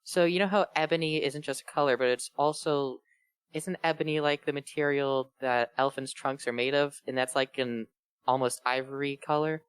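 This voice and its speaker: A woman with a strikingly low voice reads and comments in a casual, chatty style. The sound is dry and close. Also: fairly even intonation, moderate pace, clear, resonant tone, no room sound. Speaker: fan fiction reader and commentator. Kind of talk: casual riffing and read-aloud.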